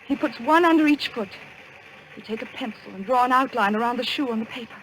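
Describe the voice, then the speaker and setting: A woman's voice: fairly high pitch, fast, fairly bright tone, close to an everyday voice. Woman acting an anxious, earnest young niece. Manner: earnest, dramatic. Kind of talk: old-time radio drama acting. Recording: noisy old radio recording.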